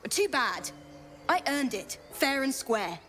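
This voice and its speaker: A woman's voice: sits high, sing-song, slow pace, pushed and bright, faint music behind, exaggerated voice. An actress playing a wary, plain-spoken woman survivor in a fantasy video game, frank, pragmatic and guarded. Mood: wary, wry. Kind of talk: video game character acting.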